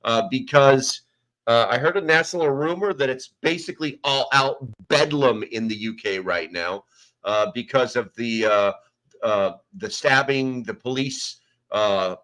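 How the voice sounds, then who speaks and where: A man's voice: mid-range voice, normal speed, sharp tone, poor audio. An American man hosting a casual livestream, rambling as he reads names from the chat, speaking into a small microphone in a nearly bare room. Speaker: livestream host. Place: sparse, nearly empty room. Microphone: small desk microphone.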